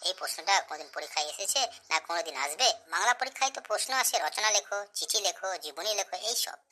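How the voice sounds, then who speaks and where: A woman's voice: mid-range voice, animated, quick pace, pushed and bright. A woman voicing a naive, cocky, whiny schoolboy in a comic cartoon, boastful and exaggerated. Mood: cheeky.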